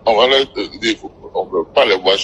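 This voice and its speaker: A man with a West African French accent talking informally on a phone call, halting and often repeating himself. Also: lowish voice, fairly bright tone, a little hiss or hum. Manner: halting, repetitive phrasing. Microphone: telephone.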